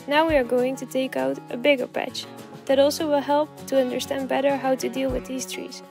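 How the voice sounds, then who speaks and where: A woman's voice: sits high, little pitch movement, brisk, creaky, music in the background. A woman hosting a project vlog, narrating and explaining in an upbeat way. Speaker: vlog host.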